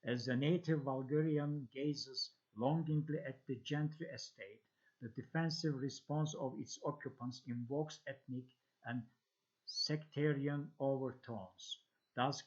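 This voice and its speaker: A man reading a scholarly text aloud as a lecture, halting and restarting on long words. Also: medium pitch. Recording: dull lo-fi audio.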